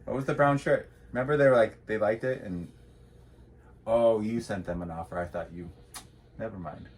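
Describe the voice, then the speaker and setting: A man's voice: lowish voice, moderate pace. A man co-hosting a casual reselling chat, teasing and joking through his banter. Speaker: co-host of a reselling channel.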